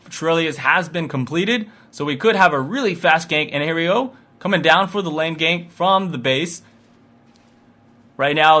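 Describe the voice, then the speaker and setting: A male esports commentator with a bright, forceful voice that sits a little high. He calls video game play moment by moment, with an excitable delivery that follows the action.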